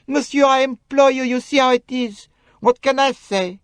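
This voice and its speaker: High, bright French-accented young maid, voluble and pleading, fluttering pitch, close and dry, a male reader putting her on in an audiobook. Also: moderate pace, clear, resonant tone, no echo. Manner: pleading, emphatic, broken English phrasing. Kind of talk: audiobook character voice.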